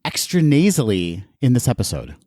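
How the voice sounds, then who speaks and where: A man's voice: mid-range voice, wildly swooping pitch, normal speed, darkish tone, slight background noise. A man co-hosting a casual podcast chat, sounding nasal and stuffed up from allergies, with a playful, jokey manner. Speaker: podcast co-host.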